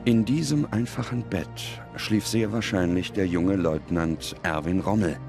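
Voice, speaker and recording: Male documentary narrator, low-pitched, measured script read over music. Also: gravelly. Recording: music under the voice.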